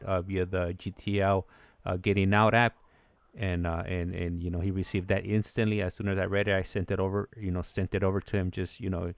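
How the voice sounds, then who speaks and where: Low, gravelly, monotone man hosting. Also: no echo. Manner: halting, repetitive, stumbling delivery. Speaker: host of a live call-in show. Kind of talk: casual, unscripted talk to a caller and live chat viewers.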